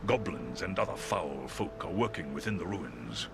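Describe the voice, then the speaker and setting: A man voicing a noble, courteous talking eagle in a video game, speaking formally and with measured gravity. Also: a bass voice, sing-song, vocal fry, full character voice. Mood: grave, resolute. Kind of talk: video game cutscene acting.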